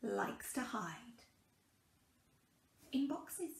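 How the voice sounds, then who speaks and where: A young woman reading a children's picture book aloud in a deliberate, sing-song way with dramatic pauses, recorded in a small room at home. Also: on the high side, big pitch swings, gravelly, echoey room. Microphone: camera a couple of metres away.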